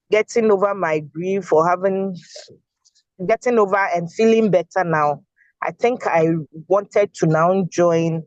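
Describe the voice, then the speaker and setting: A woman's voice: fairly low pitch, dry recording, muffled recording. A woman sharing earnestly with a group on a video call. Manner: slow, earnest. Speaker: participant.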